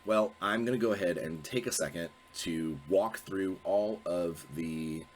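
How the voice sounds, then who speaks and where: Male host, low voice, congested with a cold, rambling and self-correcting, faint background hum. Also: a little slow. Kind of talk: casual solo video talk.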